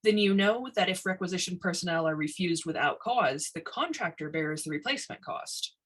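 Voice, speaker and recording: A woman voicing a self-possessed, defiant female communications engineer with a brisk, unflustered manner in a story reading. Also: fairly low pitch, animated, fairly bright tone. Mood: firm, composed. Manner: brisk, crisp-worded, unflustered.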